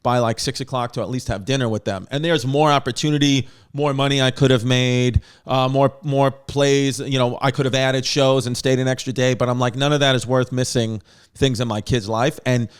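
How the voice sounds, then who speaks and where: A man with a New York accent chats loosely as a comedy podcast host. He rambles, restarts and drops quick jokey asides. His voice sits at an ordinary middle pitch for a man. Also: steady tone, clear, resonant tone. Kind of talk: casual comedic chat.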